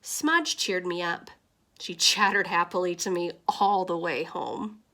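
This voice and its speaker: A woman's voice: lowish voice, steady tone, unhurried, bright, forceful tone, voice pushed down. A woman reading as a weary, kindly out-of-work father in a storybook, plain-spoken and resigned. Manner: colloquial, resigned.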